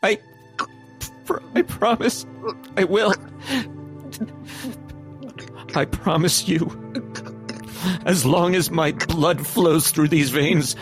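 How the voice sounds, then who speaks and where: Man acting an anguished, high-voiced teenage hero. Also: faint music behind. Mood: solemn resolve. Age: young adult. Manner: halting, emotional, melodramatic. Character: anguished, earnest teenage boy thrust into being hero. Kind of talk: audio drama acting.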